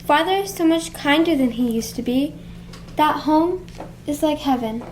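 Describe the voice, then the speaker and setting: A woman playing an affectionate, excited little girl in a radio play. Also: high-pitched, normal speed, a little hiss or hum. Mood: joyful. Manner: bright-eyed, excited, childlike delivery. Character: eager, affectionate little girl, young sister. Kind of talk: stage-style radio play acting.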